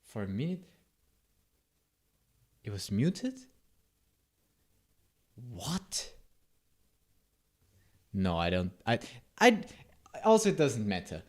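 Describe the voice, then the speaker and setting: A man's voice: on the low side, wildly swooping pitch, very slow, long pauses. A man with a German accent whose first language is German, streaming a live coding session and chatting with his viewers in a rambling, self-interrupting way. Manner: rambling, self-interrupting, answers chat with asides. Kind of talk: casual live coding stream, reading chat.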